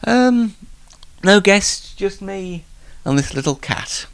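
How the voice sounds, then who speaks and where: A man's voice: high-pitched, animated, slow pace, vocal fry, clear, resonant tone, no room sound. A man hosting a casual solo late night talk show, rambling in a jokey, self-deprecating way, slightly stuffed up with hay fever.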